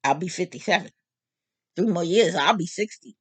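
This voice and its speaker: Bright-voiced woman in her fifties. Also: on the low side, normal speed, slightly rough, dry recording. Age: 50s. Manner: animated, candid, joking. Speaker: vlog host. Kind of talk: casual monologue.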